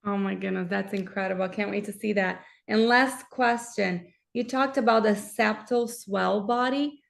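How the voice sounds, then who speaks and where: A woman's voice: medium pitch, moderate pace, gravelly. A woman hosting a live interview as a myofunctional therapist, asking eager, enthusiastic questions.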